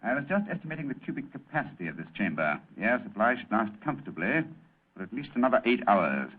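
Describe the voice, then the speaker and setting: A man playing a cool, brilliant gentleman detective in old-time radio drama, calm and precise. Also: on the low side, quick pace, breathy edge. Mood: composed, analytical. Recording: tinny, band-limited old radio recording.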